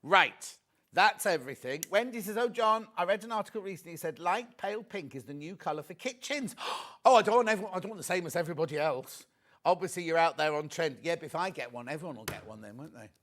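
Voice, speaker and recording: A man's voice: a little high, animated, quick pace, a bit bright. A man presenting a live shopping show, chatting casually and jumping between topics with self-mocking asides. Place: TV studio.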